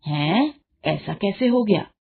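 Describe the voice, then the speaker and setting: A woman's voice: mid-range voice, lively intonation, slow pace, creaky, slightly rough, phone-quality sound, heavily acted. Woman voicing a spiteful, melodramatic scheming mother-in-law. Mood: shocked, disgusted. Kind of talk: animated moral-story dramatisation.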